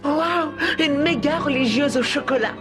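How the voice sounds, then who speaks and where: A woman voicing a gluttonous young schoolboy in an animated cartoon, scheming, hesitant and easily flustered. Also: sits high, a little slow, loud music behind the voice. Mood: greedy. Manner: hesitant, musing to himself.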